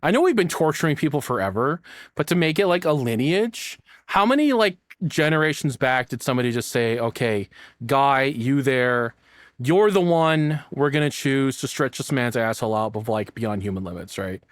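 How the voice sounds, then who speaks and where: Casual man with an animated, swinging delivery. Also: medium pitch, normal speed, no room sound, clean studio sound. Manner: flippant, rambling, profane. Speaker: YouTube reaction host. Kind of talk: casual joking reaction commentary.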